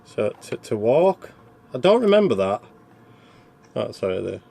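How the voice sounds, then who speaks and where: A man's voice: medium pitch, sing-song, a little slow, vocal fry, dark tone, husky. A man hosting a game review with casual, rambling, self-deprecating commentary as he plays. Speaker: video game reviewer and channel host.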